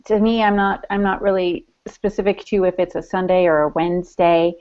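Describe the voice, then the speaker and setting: A woman's voice: lowish voice, little pitch movement, moderate pace, darkish tone, smooth, dull audio. A woman co-hosting a podcast, talking casually and candidly, often qualifying what she says. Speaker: podcast co-host and writer.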